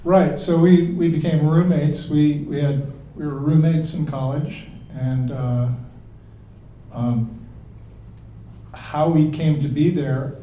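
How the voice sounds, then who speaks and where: A man's voice: a little high, steady tone, dark tone. Older man, distant in a roomy space. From New England, United States. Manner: halting, restarts often. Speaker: interview guest. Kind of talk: casual remote interview. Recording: roomy, distant, faint hiss.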